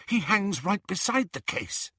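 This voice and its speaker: A man's voice: sits high, very animated, a little slow, bright, forceful tone, slightly rough, dry recording, studio quality, voice pushed up, full character voice. A man voicing an earnest, anxious teenage girl, polite yet insistent, in a storybook reading. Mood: urgent. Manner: urgent, careful, insistent.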